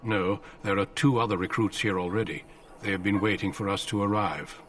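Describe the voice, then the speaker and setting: An actor playing a grave, seasoned male warden commander in a fantasy game, speaking in a measured, formal, solemn way. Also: fairly low pitch, steady tone, distant mic, slight background noise, natural voice. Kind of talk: fantasy video game voice acting.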